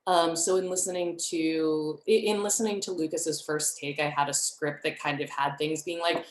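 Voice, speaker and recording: Woman, low voice, casual rambling panel talk, echoey video-call sound. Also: steady tone, moderate pace. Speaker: director on a talkback panel. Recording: roomy echo on a video call.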